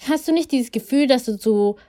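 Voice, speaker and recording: A woman's voice: high voice, fairly quick, dark tone. A young woman who grew up in Germany, talking in a thoughtful, hedging way in a casual conversation and now and then using English phrases. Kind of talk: casual chat.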